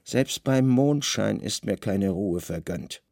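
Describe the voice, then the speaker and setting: A man playing a weary, brooding Roman governor with a sharp, commanding mind, in a literary radio drama. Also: on the low side, lively intonation, slow pace, gravelly, no room sound, studio quality, exaggerated voice.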